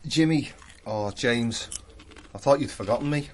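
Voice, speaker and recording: An actor playing a proud, evasive young artist in a radio drama, speaking in a cool, measured, slightly pompous way. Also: male voice, mid-range voice, a little hiss or hum, natural voice.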